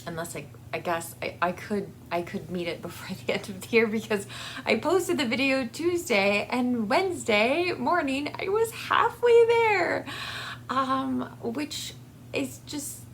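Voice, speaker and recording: A woman giving a casual, rambling personal update to her viewers in a halting, effusively grateful way, recorded in a basement. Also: on the high side, animated, slight background noise. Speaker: book channel vlogger. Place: basement with air conditioning running.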